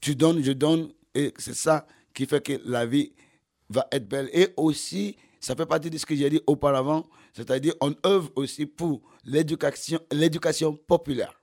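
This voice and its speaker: A man from Nigeria whose first language is English, speaking French as a festival organizer in an interview, halting with many fillers and restarts. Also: fairly high pitch, darkish tone. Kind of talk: radio interview.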